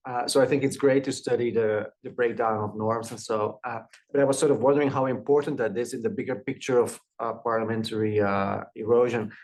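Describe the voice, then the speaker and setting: A man from Belgium asking a measured question from the audience at an academic talk, with occasional pauses. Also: mid-range voice, steady tone, darkish tone.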